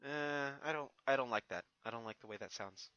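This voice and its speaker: A man's voice: medium pitch, a little fast, dull audio, over a noisy crowd. A man who reads fan fiction, giving a casual channel update in a hesitant, rambling, stammering way. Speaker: fan fiction reader and online creator.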